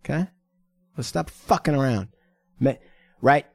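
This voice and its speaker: A man's voice: mid-range voice, unhurried, creaky, a little mellow, no room sound. A man hosting a podcast in a studio, asking questions in a pushy, profane way. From United States. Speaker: podcast host. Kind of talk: casual chat, interviewing.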